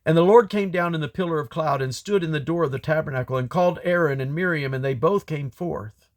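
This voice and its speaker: A man reading scripture aloud in a solemn, deliberate way, breaking the text into measured phrases. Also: fairly high pitch. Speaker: Bible reader. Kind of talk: scripture reading, King James English.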